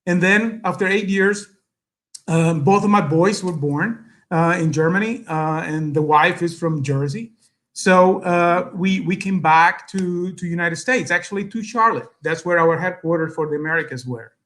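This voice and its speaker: A middle-aged man from El Salvador with a Salvadoran Spanish accent, a native Spanish speaker giving an earnest speech at an event, with many pauses and fillers. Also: sits high, fairly bright tone. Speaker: guest speaker.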